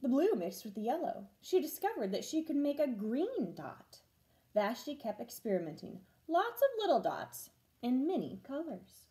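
A young woman reading a picture book aloud to children, deliberate and expressive. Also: high-pitched. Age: young adult. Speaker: teacher reading a story to children. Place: room at home.

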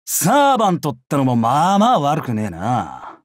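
An actor playing a brash, bloodthirsty young male samurai in a mobile game, with rough, swaggering speech. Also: mid-range voice, huge pitch swings, moderate pace, no room sound, studio quality. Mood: casual, cocky. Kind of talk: Japanese mobile game character voice lines.

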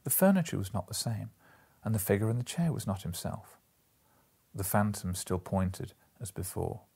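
Male storybook narrator, forties, very low and husky, solemn measured delivery. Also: expressive, a little mellow. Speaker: storybook reader. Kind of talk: dramatic literary narration. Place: small indoor room, seated in an armchair.

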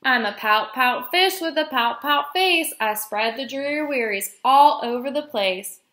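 A woman's voice: sits high, lively intonation, a little slow, a bit bright, echoey room. A woman voicing a gloomy, resigned male fish from a storybook, in mournful singsong rhyme.

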